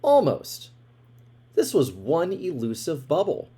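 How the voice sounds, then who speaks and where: A man reading a children's picture book aloud in an expressive way, with dramatic emphasis. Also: a little high, slow pace, breathy edge. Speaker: storybook reader.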